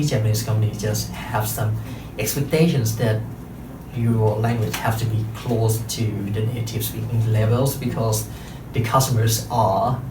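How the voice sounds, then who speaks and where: A man's voice: mid-range voice, far from the mic, background noise. Thai-accented man in an echoey room. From Thailand. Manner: careful, measured, frequent pauses and self-corrections. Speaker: interpreter and translator giving a talk. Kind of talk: prepared talk to an audience.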